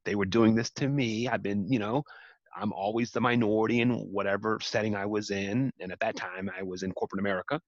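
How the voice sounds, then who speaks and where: A man's voice: lowish voice, fairly even intonation, brisk, no room sound, highs cut off. A man speaking earnestly as an interview guest, building to emphatic repeated points. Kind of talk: reflective interview talk.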